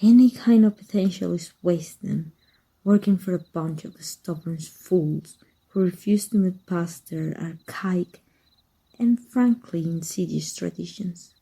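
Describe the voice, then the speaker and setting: A woman acts out an exiled heretic magic teacher, wry yet fond of a former student. The voice is very mellow and dark, husky and breathy, on the low side. The delivery is slow, soft and intimate, in the manner of an ASMR roleplay. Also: exaggerated voice, rough recording. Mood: wry, guarded. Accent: Mexican.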